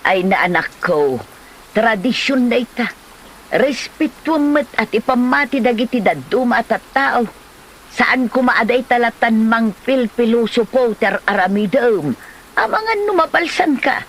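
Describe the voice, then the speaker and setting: A woman's voice: a little high, expressive, a little slow, a little hiss or hum, low-quality recording. Admonishing older mother played by a woman. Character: older mother admonishing her grown son. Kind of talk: comedy radio-style drama acting.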